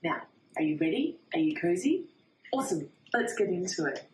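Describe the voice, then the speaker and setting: A woman reading a bedtime storybook to young children in a sing-song way, with dramatic pauses before key words. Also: lowish voice, animated, a little hiss or hum. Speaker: children's read-aloud host. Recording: roomy echo.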